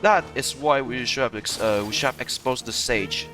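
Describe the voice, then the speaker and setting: A man giving casual, off-the-cuff gaming commentary in a halting, blunt, sweary manner. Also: medium pitch, over music. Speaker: gameplay commentator.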